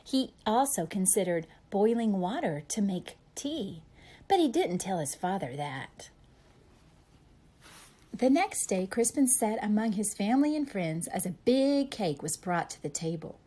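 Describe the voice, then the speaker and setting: Slow, animated woman storyteller. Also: mid-range voice. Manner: deliberate, animated, dramatic emphasis. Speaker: storyteller reading a children's picture book aloud. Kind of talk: expressive read-aloud for young children.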